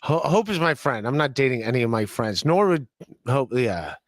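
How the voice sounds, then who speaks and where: A man's voice: mid-range voice, animated, normal speed, no room sound, studio quality. A man hosting a podcast, who reads out viewer comments and teases his guest in an easygoing way. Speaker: podcast host. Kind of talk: casual podcast chat.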